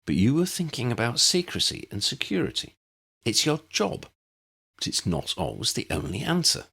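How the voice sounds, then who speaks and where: A man's voice: medium pitch, huge pitch swings, moderate pace, vocal fry, sharp tone, breathy edge, pitched above the performer's own voice, full character voice. A man voicing an earnest, sensible young woman witch with a precise, insistent manner in an audiobook. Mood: corrective.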